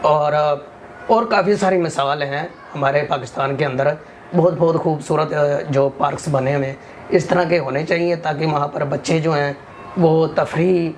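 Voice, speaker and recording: A man from Pakistan with a sore throat, answering an interviewer earnestly and making appeals, often filling pauses with set phrases. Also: on the high side, steady tone, slight background noise. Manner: earnest, earnestly appealing, with frequent "jo hai" fillers. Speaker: forest restoration activist, interview guest.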